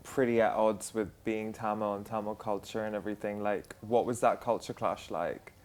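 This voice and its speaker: A young man talks casually in a conversation. His voice stays in a fairly flat, narrow pitch band. He fills his sentences with "like" and "um". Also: normal speed. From South London, England. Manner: chatty, full of "like" and "um". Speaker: musician guest.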